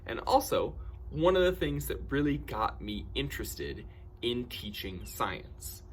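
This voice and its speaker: A man telling personal stories in an animated, theatrical way, acting out bits of dialogue with excitement. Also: medium pitch, measured pace, a little hiss or hum. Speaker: camp storyteller and science educator.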